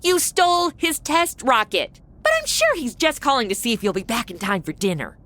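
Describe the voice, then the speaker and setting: A woman's voice: a little high, sing-song, normal speed, fairly bright tone. A woman playing a sarcastic, wisecracking modern young woman in a comedy audio drama. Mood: snarky. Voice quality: snarky, wisecracking.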